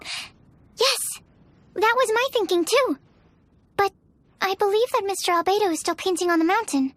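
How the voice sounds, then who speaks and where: A woman's voice: extremely high pitch, moderate pace, dry recording. A woman voicing an earnest, shy young female researcher in a video game, speaking politely and hesitantly. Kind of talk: video game character acting.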